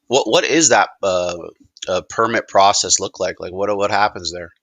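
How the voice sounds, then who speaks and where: A man asking casual questions in a halting, informal way, as if thinking aloud. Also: sits low, fairly bright tone, no room sound. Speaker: caller asking questions in a product demonstration.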